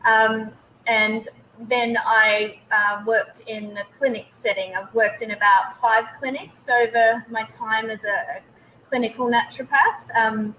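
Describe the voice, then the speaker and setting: Bright-voiced Australian woman in her thirties. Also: on the high side, fairly even intonation, unhurried, slight background noise, poor audio. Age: 30s. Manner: hesitant, many ums. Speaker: guest naturopath. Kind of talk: casual webinar interview.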